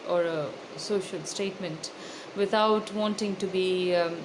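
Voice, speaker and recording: A woman with an Indian accent giving thoughtful, articulate interview answers as an author, in a measured way with hesitant pauses. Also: lowish voice, fairly even intonation. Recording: loud background noise.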